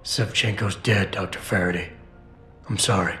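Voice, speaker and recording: An American actor playing a stoic, gravel-voiced cyborg security operative in a video game, terse and deadpan. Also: male voice, low-pitched, moderate pace. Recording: roomy game audio with music.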